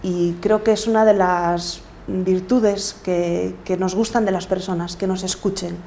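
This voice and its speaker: A woman's voice: on the low side, flat delivery, measured pace, vocal fry, dark tone, a little hiss or hum. A middle-aged woman with a Galician accent, talking reflectively as a guest in an interview. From Galicia, Spain. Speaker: podcaster and coach, interview guest.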